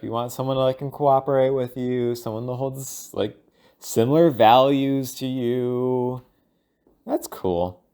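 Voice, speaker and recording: A man records a casual solo monologue at an unhurried pace. His pitch sits in a middle range, and he talks loosely and haltingly, as if working out each thought while he speaks. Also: warm tone, clean tone. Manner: halting, thinking aloud, loose and informal with profanity; acts out imaginary dialogue. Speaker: solo audio log host. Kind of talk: rambling casual audio monologue.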